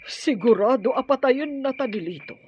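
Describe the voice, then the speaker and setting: A woman playing an anxious mistress of a house in a radio drama, urgent and dramatic, with a husky, breathy voice. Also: high voice, animated, moderate pace, a little mellow, slight background noise. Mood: urgent, fearful. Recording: dull, dry radio drama audio.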